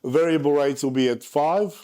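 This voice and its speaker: A man in his forties with a slightly raised pitch, talking frankly and casually through charts. He drops joking asides as he explains the numbers to investors. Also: normal speed, mellow. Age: 40s. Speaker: property investment presenter. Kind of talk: casual presentation over charts.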